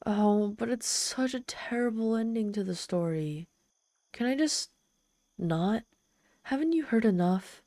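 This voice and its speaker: A woman's voice: on the high side, lively intonation, mellow, no echo. A woman playing a proud, easily flustered female demon in an ASMR roleplay, telling her story in a haughty, theatrical manner. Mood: dismayed, flustered. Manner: haughty, theatrical, halting when embarrassed.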